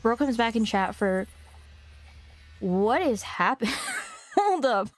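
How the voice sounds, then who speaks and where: A woman's voice: fairly high pitch, big pitch swings, slow. Slangy young woman rambling to chat. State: a little hoarse from a cough. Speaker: streamer. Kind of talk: casual streaming chat, reading a visual novel aloud.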